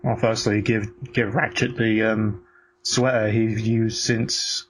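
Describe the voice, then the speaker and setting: A man's voice: on the low side, flat delivery, rough recording. Blunt man with faint background hum. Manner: blunt, sweary, halting. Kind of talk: casual chat.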